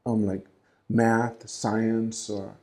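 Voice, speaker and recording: A man's voice: lowish voice, little pitch movement, very slow, darkish tone. A man interviewing a guest in a casual talk, prompting with questions and finishing the guest's sentences.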